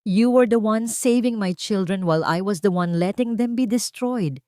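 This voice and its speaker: A woman's voice: medium pitch, moderate pace, dark tone, no room sound, studio quality, pitched above the performer's own voice, natural voice. A woman with a Filipino accent voicing a remorseful, protective young father in an audiobook drama, tender and earnest. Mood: remorseful, pleading. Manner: tender, earnest, broken-voiced.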